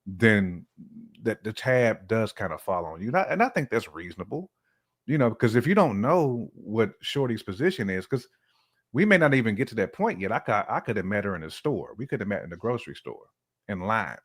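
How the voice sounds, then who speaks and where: Low-voiced man chatting casually. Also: big pitch swings, brisk. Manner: informal, colloquial speech with slang. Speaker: podcast guest. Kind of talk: casual conversational opinion-sharing.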